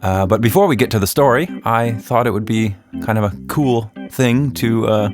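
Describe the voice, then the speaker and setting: A man's voice: medium pitch, moderate pace, over music. A middle-aged man hosting and telling a children's story in a playful, conversational manner. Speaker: podcast host and storyteller.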